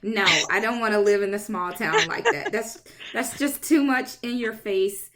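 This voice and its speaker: A woman's voice: on the high side, lively intonation, a little slow, crowd noise, rough recording. A woman from Indiana hosting a casual chat, friendly and agreeable, laughing often. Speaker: podcast host.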